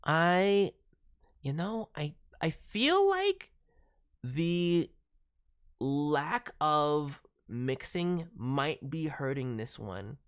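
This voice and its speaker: A man's voice: on the high side, very animated, very slow, clear, resonant tone. A man giving casual, thoughtful critique as a voice director, hedging as he talks. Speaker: voice director reviewing demo reels.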